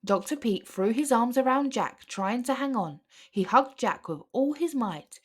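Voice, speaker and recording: A woman narrating a children's picture book aloud as an expressive storyteller. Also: a little high, unhurried, slightly rough. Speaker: storybook reader.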